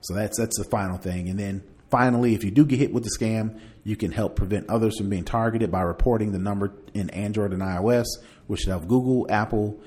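A man's voice: lowish voice, brisk, darkish tone. A man hosting a podcast, chatting casually through tech news and partly reading aloud, in a conversational way. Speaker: podcast host.

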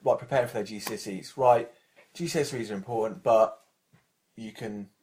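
A man's voice: on the low side, a little fast, darkish tone. A British man co-hosting a podcast in casual chat, rambling with many restarts and fillers. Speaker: podcast co-host.

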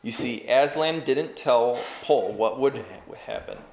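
A man's voice: mid-range voice, a little slow, creaky, a little mellow, echoey room, a little hiss or hum, rough recording. A man voicing a gloomy, cautious marsh creature, with a glum and matter-of-fact delivery.

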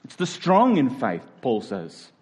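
A man's voice: medium pitch, wildly swooping pitch, takes it slowly, darkish tone. A man with an Australian accent preaching a conversational sermon, explaining step by step and leaning on key phrases. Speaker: pastor.

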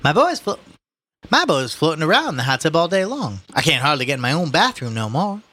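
A man's voice: on the high side, sing-song, fairly quick, sharp tone, husky, dry recording, voice pushed up, exaggerated voice. A man voicing a weary, protective older working-class mother from New Orleans, plaintive and folksy, for a storybook reading. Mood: weary complaining.

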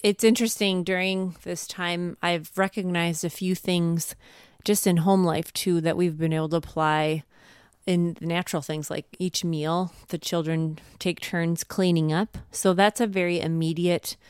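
A woman's voice: on the low side, steady tone, unhurried, a little mellow, smooth, no room sound, clean studio sound. A woman, a podcast co-host, chatting casually and encouragingly as she shares advice and anecdotes from home life. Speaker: podcast co-host, homeschooling mother.

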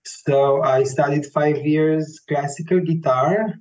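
A man in his thirties with a Romanian accent, a classical tenor answering interview questions slowly and thoughtfully, often searching for words. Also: fairly high pitch, a little mellow. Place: Vienna. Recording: dull lo-fi sound, faint music.

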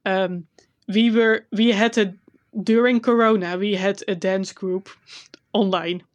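A woman with a Dutch accent co-hosting a casual podcast, telling a story in a relaxed, self-conscious way with frequent laughs. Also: medium pitch, measured pace, lo-fi sound. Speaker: podcast co-host. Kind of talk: casual chat.

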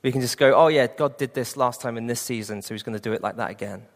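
A man's voice: mid-range voice, quick pace. Preacher in his twenties, echoing hall. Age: 20s. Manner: earnest personal testimony. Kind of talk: sermon.

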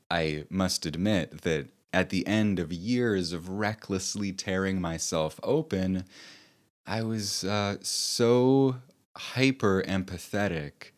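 Slow, deep-voiced reflective man. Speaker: author and host. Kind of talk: reflective personal talk.

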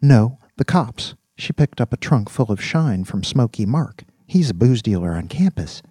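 A man's voice: medium pitch, expressive, moderate pace, dark tone, husky, no echo, clean studio sound, pitched above the performer's own voice. A man voicing an eager, naive young male college student in an audiobook, chatting in casual slang. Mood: eager, chatty. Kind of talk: audiobook character dialogue.

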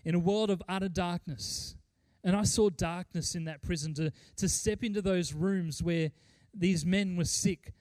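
A middle-aged man preaching as a pastor, speaking earnestly and emphatically, with deliberate pauses and repeated phrases. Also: high voice, darkish tone, dry recording. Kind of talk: sermon with mission report and scripture reading.

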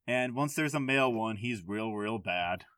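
A man's voice: fairly low pitch, expressive, moderate pace, a bit bright, dry recording. Man chatting frankly, close dry sound. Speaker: podcast host. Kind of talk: casual podcast chat.

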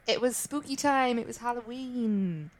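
A woman's voice: a little high, a little slow. Chatty British woman, laughing podcast host. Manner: chatty, laughs often, self-deprecating. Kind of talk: casual podcast chat.

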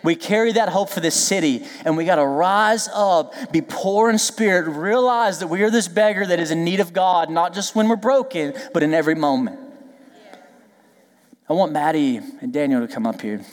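A male pastor preaching a lively, joking sermon, then leading prayer and worship while losing his voice. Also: fairly high pitch, normal speed, big-room sound. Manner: lively, joking, emphatic preaching with call-and-response asides. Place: church sanctuary.

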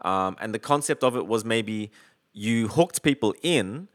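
Rambling man, low voice. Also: animated, a little slow. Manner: rambling, lots of likes, swears casually. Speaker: podcaster on a video call. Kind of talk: casual chat about gear.

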